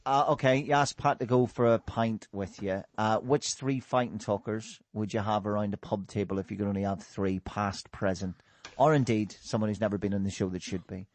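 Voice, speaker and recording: A man's voice: fairly low pitch, fairly even intonation, a little fast, darkish tone, no room sound. A man hosting a casual podcast chat, joking and laughing often and restarting his sentences. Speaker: podcast host.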